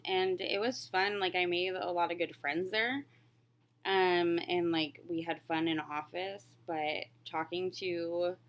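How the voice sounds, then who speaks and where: A woman in her late twenties with a slightly low voice, talking in a casual vlog style. She rambles through answers in a candid, reflective way, like someone chatting while working on a craft. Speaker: crafting vlogger. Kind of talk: casual chat, answering questions while stitching.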